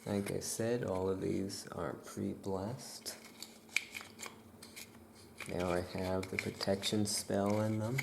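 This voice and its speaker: Very slow, low, creaky soft-spoken ASMR voice. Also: flat delivery, noisy background. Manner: unhurried, gentle, hushed. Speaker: ASMR roleplayer as a witch shopkeeper.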